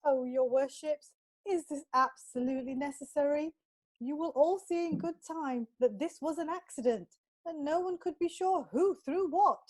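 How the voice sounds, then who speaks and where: A woman's voice: high-pitched, moderate pace, a little mellow, natural voice. A woman playing a pleading, protesting figure in a comic courtroom play.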